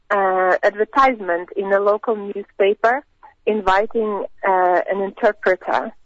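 A woman in her thirties with a Russian accent, telling her personal story as an interview guest, with hesitant, thoughtful pauses. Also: medium pitch, no echo. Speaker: missionary guest. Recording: dull, lo-fi radio sound.

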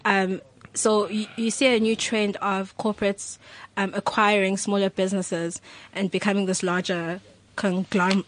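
A woman's voice: mid-range voice, little pitch movement, a little slow, no room sound, poor audio. A woman on a discussion panel who speaks earnestly and sometimes searches for words.

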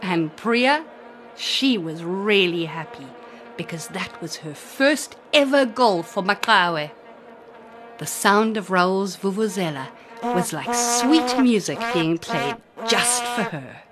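A woman's voice: medium pitch, lively intonation, takes it slowly, a crowd in the background. A woman storyteller narrating a children's story expressively, with dramatic emphasis.

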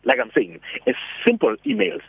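A man with a raspy voice, a radio guest giving animated, persuasive motivational talk by phone. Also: a little high, normal speed, no room sound. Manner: animated, persuasive, asks rhetorical questions. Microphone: telephone. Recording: tinny dry phone line.

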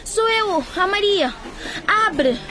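A loyal teenage girl in a radio drama, earnest and concerned. Her voice sits high and bright with youth, over faint background ambience. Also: expressive, slow pace, noisy background, exaggerated voice. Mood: urgent, anxious. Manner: earnest, urgent. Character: worried, loyal pregnant teenage schoolgirl.

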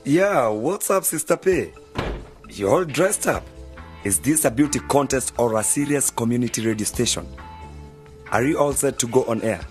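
An actor playing an earnest, preachy journalist who mentors young broadcasters, speaking in a measured, didactic way in a radio drama. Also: male voice, mid-range voice, expressive, faint music behind, lightly acted. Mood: brisk.

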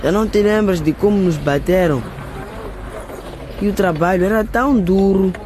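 A man's voice: sits high, moderate pace, darkish tone, a little hiss or hum, lightly acted. An actor playing a determined boy begging on a street with his brother in a radio drama. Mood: earnest. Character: boy Bruno, stubborn older brother.

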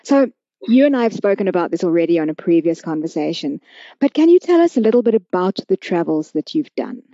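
A woman's voice: lowish voice, very animated, a little fast, dark tone, no room sound, lo-fi sound. A woman hosting a podcast, asking questions in a conversational interview style. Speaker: podcast host.

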